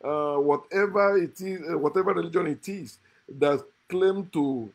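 A man's voice: a little high, normal speed. A middle-aged man, seated indoors, lectures emphatically to a close phone camera, hammering home points with repetition like a preacher. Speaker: host.

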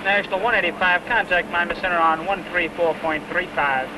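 A man's voice: high voice, steady tone, a little fast. A man working as an air traffic controller, giving procedural radio instructions in set phrases. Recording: noisy old film, control room radio.

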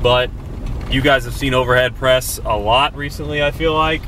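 A young man in his twenties, talking casually and quickly to the camera held at arm's length, vlogging about his workout. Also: mid-range voice, fairly even intonation, pushed and bright, a little hiss or hum. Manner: quick, chatty, loose with fillers. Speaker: fitness vlogger. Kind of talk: casual vlog narration. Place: in a car, then gym voice-over, then kitchen.

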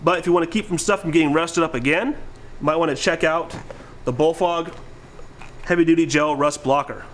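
A man's voice: on the high side, fairly quick, fairly bright tone, a little hiss or hum. A man in his thirties giving a casual, plain-spoken product demonstration as a presenter. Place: indoor warehouse aisle lined with stock bins.

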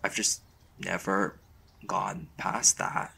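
A man acting as a shy, smitten young college mage in an intimate boyfriend roleplay, speaking casually and haltingly. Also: sits low, flat delivery, gravelly, pushed and bright, slightly rough, full character voice. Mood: shy, confessional. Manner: hesitant, halting, casual slangy delivery.

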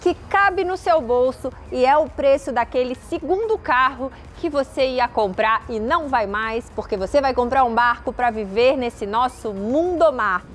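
A woman's voice: sits high, moderate pace, few pauses. A Brazilian woman in her thirties presenting a product casually on camera, talking straight to the viewer with questions. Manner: conversational, addresses viewer directly with questions. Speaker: boat show presenter. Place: outdoor boat exhibition at night. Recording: clear background noise, outdoor ambience.

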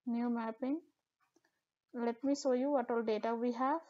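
A woman, walking through a software tutorial step by step with the odd filler. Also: a little high, unhurried, highs cut off. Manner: steady step-by-step explaining with fillers. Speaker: tutorial instructor. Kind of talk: screen-recorded software tutorial.

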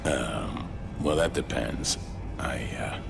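A man acting a wry, laid-back survivor hero in a zombie video game, with a gravelly, sardonic mutter. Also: very deep voice, animated, slow pace, full character voice. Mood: casual, reluctant. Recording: music behind the voice.

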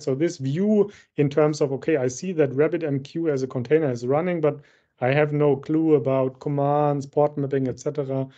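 Man, bright voice, dull call audio. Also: fairly high pitch. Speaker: solution architect presenting a software demo. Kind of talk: technical demo presentation. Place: indoor room, on a video call. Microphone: video-call microphone.

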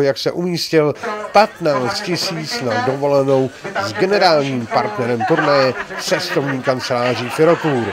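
An older man hosting a bowling tournament, chatting and teasing as he reports and interviews players with a handheld mic in a large bowling alley. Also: mid-range voice. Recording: faint hall noise.

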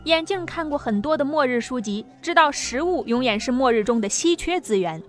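A woman narrates a scripted audio drama. Her voice is fairly high, and soft music plays faintly behind her. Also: lively intonation, brisk.

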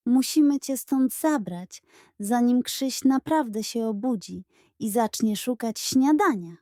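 Woman acting a high-pitched, excitable little girl. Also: unhurried, dry recording, clean studio sound. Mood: chatty, determined. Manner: exclamatory, incredulous, colloquial.

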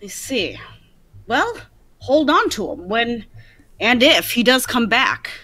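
Woman as earnest, high-voiced radio detective. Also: big pitch swings, slow, bright, forceful tone, exaggerated voice. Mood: questioning. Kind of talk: 1940s radio drama script reading.

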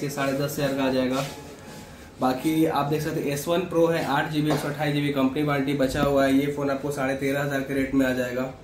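A man gives a matter-of-fact sales pitch as a shop seller, listing products and mixing in English words. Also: a little mellow. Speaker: second-hand phone shop seller. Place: small indoor phone shop. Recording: echoey room, clear background noise.

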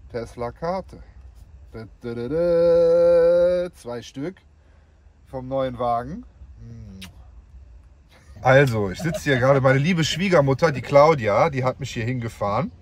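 A man in his forties with a cold, talking casually and excitably into a phone camera held at arm's length. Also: mid-range voice, takes it slowly, long pauses, no echo. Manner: chatty, excitable, swears casually. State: has a cold. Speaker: vlogger. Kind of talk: casual vlog. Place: living room, then car park and car interior.

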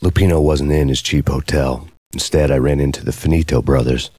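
An actor playing a world-weary undercover cop who narrates noir game scenes in a deadpan, sardonic way. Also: male voice, very deep voice, fairly quick, a little hiss or hum. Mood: brooding. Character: world-weary, hard-boiled undercover cop narrating his own story. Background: music.

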